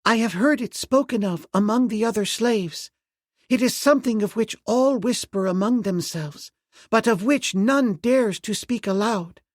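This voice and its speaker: Earnest young slave girl, high and fervent, man doing the voice. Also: unhurried, no echo, clean studio sound. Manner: earnest, emphatic.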